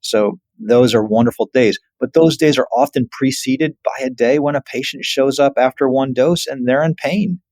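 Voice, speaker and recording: A man's voice: mid-range voice, normal speed, few pauses, darkish tone, no echo. A man, a surgeon, explaining as an interview guest in an emphatic way with examples.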